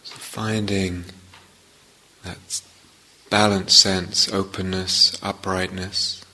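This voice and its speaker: A man leading a guided meditation as a teacher, speaking gently and in measured short phrases with long pauses. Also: deep voice, little pitch movement, low-quality recording.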